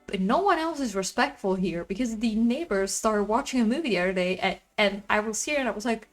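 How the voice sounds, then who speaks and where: A woman with a loud voice chatting casually on a livestream from her apartment, rambling and venting about her neighbors. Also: medium pitch, lively intonation, fairly quick, soft background music. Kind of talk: casual chat.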